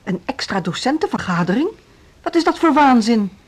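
Radio drama: a stubborn female school leader, fairly high voice, dismissive and suspicious. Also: very animated, normal speed. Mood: indignant. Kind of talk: Dutch radio play acting.

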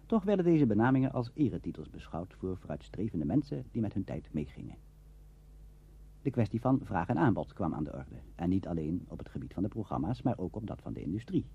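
A man narrating a documentary in a formal, measured storytelling manner. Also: sits low, breathy edge. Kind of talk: scripted narration. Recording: dull lo-fi archive tape.

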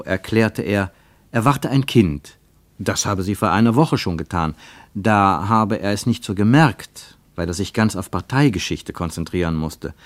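An actor as the narrator of a literary radio travelogue, telling it in a measured, expressive way with deliberate pauses. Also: male voice, on the low side, no room sound.

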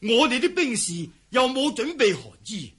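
A man's voice: extremely high pitch, huge pitch swings, moderate pace, a bit bright, husky, exaggerated voice. A man playing a cowardly, self-serving fleeing palace eunuch in a historical radio drama, stammering and sighing as he talks. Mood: weary recounting.

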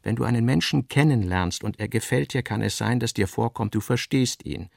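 A man's voice: mid-range voice, brisk, vocal fry, a little mellow, no room sound, studio quality. A male narrator voicing an earnest middle-aged businessman father, who argues insistently and rhetorically with his daughter in a literary radio drama. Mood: forceful, argumentative.

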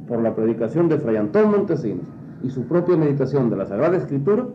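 A man giving explanatory commentary, didactic, building his points through repetition. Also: medium pitch. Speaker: expert commentator. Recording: dull, roomy, slightly noisy interview recording.

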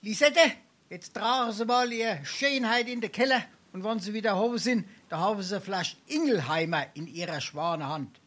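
A florid, scheming Hessian sponger. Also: male voice, sits high, animated, normal speed, a bit bright. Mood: wheedling, boastful. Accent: South Hessian, Darmstadt dialect. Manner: florid mock-pompous speech, theatrical sighs. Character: brazen silver-tongued male sponger, theatrical drinker and schemer. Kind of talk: dialect stage comedy acting.

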